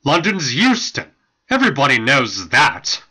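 A man's voice: medium pitch, huge pitch swings, unhurried, pushed and bright, exaggerated voice. A man voicing a snooty visiting steam engine with a snorting, dismissive manner in a storybook reading. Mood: scornful.

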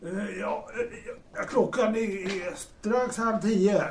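A genial gossip acted by a man chats haltingly in an audio drama. The voice is high for a man. It comes from a little distance in an echoey room. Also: a little hiss or hum. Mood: easygoing. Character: genial gossipy male friend who enjoys feminine charm.

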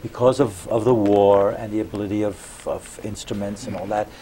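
A man in his sixties from New York City, telling stories about his life in a halting, good-humored way as an interview guest. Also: on the low side, steady tone, dark tone. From born in Belgium, raised in New York City. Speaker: interview guest, retiring music professor. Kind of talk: conversational reminiscing. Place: small cable TV studio. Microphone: lapel mic. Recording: noisy 2001 VHS studio recording.

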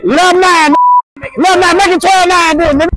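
Middle-aged man, high pitched, argumentative hooper; street noise audible on mic. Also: fairly even intonation, normal speed. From Tennessee. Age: 40s. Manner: loud, brash, argumentative, swearing. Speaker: mic'd-up pickup basketball player. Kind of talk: trash talk during a game. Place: outdoor basketball court. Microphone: clip-on body mic.

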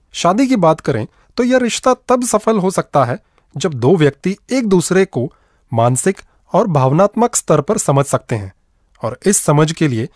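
A man presenting a radio programme with scripted, expressive and measured narration. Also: on the high side, dry recording, studio quality.

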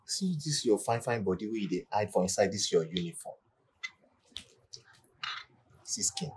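An actor with a Nigerian accent playing a lecherous, boastful male customer who flatters and pesters a shop attendant. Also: on the low side, normal speed, a little hiss or hum, close to an everyday voice. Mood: flirtatious. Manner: smooth-talking, leering flattery.

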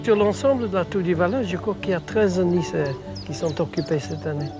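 Older Englishman, high-pitched voice. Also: brisk, over music. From England. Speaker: retired ornithologist. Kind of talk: casual documentary interview.

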